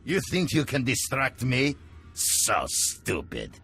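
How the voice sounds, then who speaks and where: A man's voice: medium pitch, wildly swooping pitch, slow, music in the background, heavily acted. An actor playing a dim, boastful thug who speaks broken English and mocks his target in a game cutscene. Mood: mocking.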